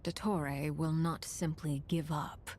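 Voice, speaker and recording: A woman playing a composed, shrewd, authoritative lady in an anime game, speaking in a measured, formal way. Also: deep voice, level tone, a little mellow, slight background noise. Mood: composed, probing. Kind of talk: anime video game dialogue.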